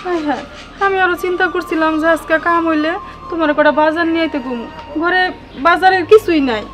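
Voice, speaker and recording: A woman's voice: very high-pitched, fast talker, fairly bright tone, faint music behind. A woman voicing a worried, reproachful poor mother in a cartoon drama, with a Bangladeshi accent and a chiding, imploring manner. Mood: concerned. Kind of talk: cartoon comedy drama dialogue.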